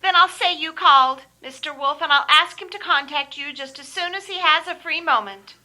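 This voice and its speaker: An actress playing a polite, eager, proper receptionist in an old time radio mystery. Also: female voice, high-pitched, moderate pace, bright, forceful tone. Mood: polite, helpful.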